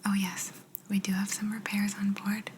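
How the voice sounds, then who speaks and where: A woman playing a calm, teasing alien examiner in an ASMR roleplay, in a soft breathy whisper, soothing and politely clinical. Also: mid-range voice, a little hiss or hum, close to an everyday voice. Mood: reassuring, attentive. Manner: slow, soothing, politely clinical with playful condescension.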